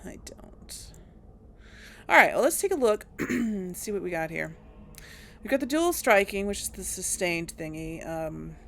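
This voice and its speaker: Slow, gravelly-voiced woman gamer. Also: fairly low pitch, a little hiss or hum. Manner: thinking aloud, hesitant, chuckling. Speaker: let's play gamer. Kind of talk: casual gameplay commentary.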